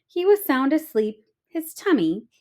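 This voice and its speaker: A woman reading a children's storybook aloud with expressive narration. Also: sits high, measured pace, a little hiss or hum.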